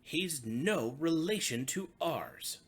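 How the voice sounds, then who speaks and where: A woman's voice: low-pitched, lively intonation, slow pace, breathy edge, heavily acted. A woman voicing indignant, bossy pond frogs from a children's storybook in an exaggerated, exclaiming way. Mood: offended.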